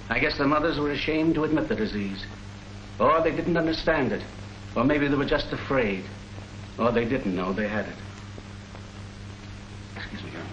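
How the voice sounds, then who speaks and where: A sober, quietly troubled military doctor played by a man explains things formally. The voice sits at a middle pitch in 1940s education film style. The soundtrack is dull, with faint noise. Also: natural voice. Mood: regretful.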